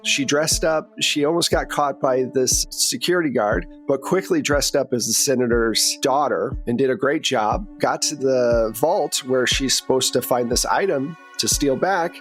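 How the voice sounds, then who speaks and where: A man's voice: medium pitch, a bit bright. A man working as game master, narrating a tabletop game in an informal, conversational way. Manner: informal, chatty.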